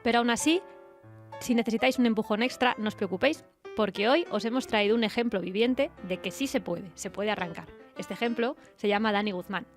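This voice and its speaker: A woman's voice: on the high side, a little fast, faint music behind. A woman with a Spanish accent from Spain hosting a conversational literary radio interview. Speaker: radio host interviewing a writer.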